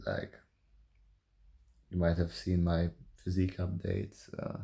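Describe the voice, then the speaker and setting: Very slow, creaky young man's voice. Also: deep voice. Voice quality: soft voice. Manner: calm, halting, hesitant with long pauses. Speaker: vlogger. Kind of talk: confessional solo vlog, thinking aloud.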